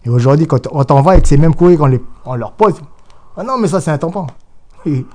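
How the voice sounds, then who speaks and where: A man's voice: a little high, lively intonation, brisk, a little mellow. A man, a jurist being interviewed, arguing insistently and often repeating his words. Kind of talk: casual spoken argument.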